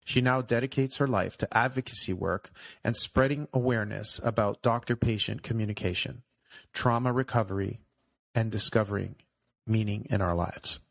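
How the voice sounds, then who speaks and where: A man's voice: fairly low pitch, moderate pace, vocal fry, fairly bright tone, low-quality recording. A man hosting a podcast, enthusiastically introducing and questioning his guest. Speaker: podcast host. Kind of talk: podcast interview.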